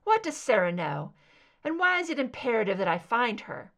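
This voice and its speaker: A woman's voice: fairly high pitch, big pitch swings, moderate pace, gravelly, fairly bright tone, heavily acted. A hard-edged, defiant female federal agent acted out by a woman narrator fires back with blunt sarcasm. Her voice swings animatedly as she challenges her captor, in the style of a thriller audiobook. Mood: irritated, challenging.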